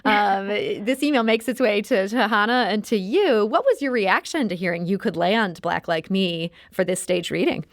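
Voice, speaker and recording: A woman's voice: mid-range voice, moderate pace, few pauses, dry recording, studio quality. A woman hosting a public radio interview in a conversational style, introducing and questioning guests.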